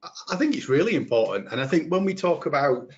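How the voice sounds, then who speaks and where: A man's voice: fairly high pitch, big pitch swings, fast. Yorkshire man on a muffled call. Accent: Yorkshire English. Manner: earnest, repeats words while thinking. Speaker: webinar panelist. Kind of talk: panel discussion answer.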